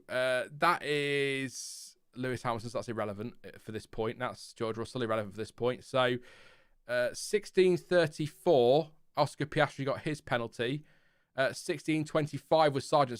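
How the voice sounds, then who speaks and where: A man's voice: medium pitch, clean tone, no room sound, clean studio sound. A British man hosting a casual race reaction, thinking aloud and rambling with many fillers. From Britain. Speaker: host.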